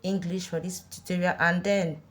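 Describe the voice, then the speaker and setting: A woman's voice: fairly low pitch, level tone, normal speed. A Nigerian woman talking viewers through an app step by step, repeating her warnings with insistent emphasis. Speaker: online earning tutorial host. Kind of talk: step-by-step app tutorial voice-over.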